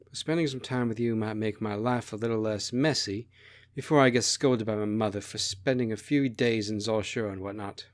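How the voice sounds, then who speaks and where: A man voicing an obliging young princess who explains things quietly and reflectively in a story. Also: fairly low pitch, brisk, close to an everyday voice.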